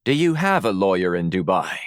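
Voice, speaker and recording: A man's voice: low-pitched, sing-song, fairly quick, clear, resonant tone, no room sound. Stern policeman, read by a male narrator, formal and unamused, varied intonation, dry sound. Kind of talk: audiobook character dialogue.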